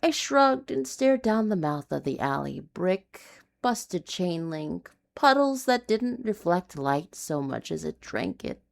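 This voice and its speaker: A woman reading a noir urban fantasy story in a hushed, dramatic, deliberate way. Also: fairly low pitch, big pitch swings, vocal fry. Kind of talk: scripted noir confessional storytelling.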